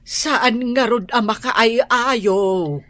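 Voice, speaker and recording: A woman's voice: a little high, extremely slow, fairly bright tone, no echo. A woman voicing a scolding, plain-spoken mother in an Ilocano radio drama, with an Ilocano Filipino accent and exclamatory delivery. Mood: chiding. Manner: exclamatory, chiding.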